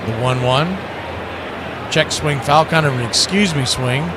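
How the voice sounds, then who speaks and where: A man's voice: on the high side, a little slow. A man doing live baseball play-by-play in a conversational, folksy way, mixing the call with casual asides. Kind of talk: live radio sports commentary with casual asides. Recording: noisy ambience behind the voice.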